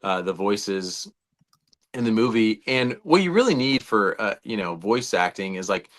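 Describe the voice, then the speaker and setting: A man's voice: fairly low pitch, sing-song, unhurried, a bit bright. An American man co-hosting a show, explaining his opinions in an emphatic, conversational way. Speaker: show co-host. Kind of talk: casual opinionated chat.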